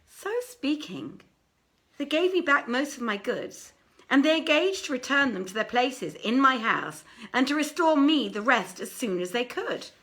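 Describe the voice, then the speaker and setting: A woman narrating an archaic tale as an audiobook reader, in a theatrical, emphatic and deliberate storytelling manner. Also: high-pitched, big pitch swings, fairly bright tone.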